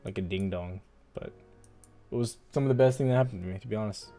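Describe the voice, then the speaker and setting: A man's voice: fairly low pitch, fast talker, warm tone, faint music behind. A man in his twenties hosting a stock trading livestream, chatting casually and rambling with lots of "like". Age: 20s. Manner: laid-back, rambling, heavy use of "like". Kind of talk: casual livestream chat, reading viewer comments.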